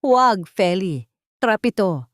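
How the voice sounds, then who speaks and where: A woman's voice: mid-range voice, animated, slow, no room sound, clean studio sound. A woman voicing a serious, composed female representative in a drama story, formal and firm. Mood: urgent warning. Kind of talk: acted story dialogue.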